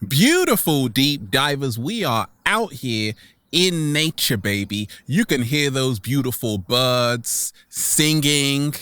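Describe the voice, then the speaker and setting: A man's voice: medium pitch, sing-song, slow, bright, forceful tone, clean tone, no room sound. A man hosting a motivational vlog outdoors, upbeat and theatrical, stretching out his words and repeating them playfully. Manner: upbeat, exuberant. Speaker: video host. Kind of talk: motivational vlog to camera, catchphrases. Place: outdoors in nature.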